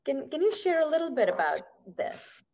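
A woman hosting a remote interview, asking questions in a measured way. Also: sits high, sing-song, a little mellow. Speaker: host. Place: small home room.

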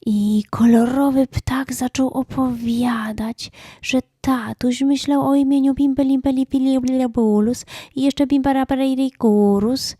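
A woman narrating a children's story in a calm, scripted storytelling style. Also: sits high, measured pace, husky, dry recording, studio quality.

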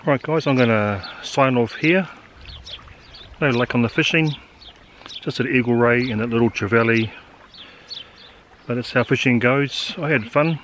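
A man in his forties with a New Zealand accent, muttering a relaxed running commentary while fishing from a harbour beach, recorded on an action camera. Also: mid-range voice, a little slow, long pauses, slight background noise. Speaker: fishing vlogger.

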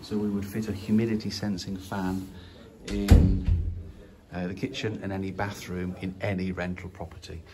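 Low-voiced man filming a house tour. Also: level tone, normal speed, loud music behind the voice. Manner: casual, hesitant, many fillers and restarts. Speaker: property investor. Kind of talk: walkthrough video. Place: empty house indoors. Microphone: phone at arm's length.

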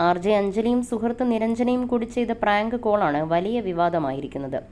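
A woman's voice: mid-range voice, a little fast, a little mellow. A woman narrating a scripted entertainment news report.

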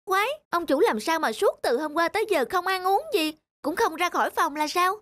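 A man's voice: extremely high pitch, expressive, brisk, bright, forceful tone, no echo, exaggerated voice. An actor playing a worried young male servant in a folktale cartoon, speaking deferentially and anxiously, with music behind. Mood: deferential, puzzled. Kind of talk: animated folktale cartoon acting. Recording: music under the voice.

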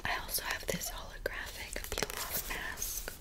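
A young woman whispers throughout, close to the mic. Her delivery is soft, soothing and intimate, like ASMR personal attention from a caring friend. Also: a little slow. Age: 20s. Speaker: ASMR creator. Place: bedroom, indoors. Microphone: close-up, in front of camera.

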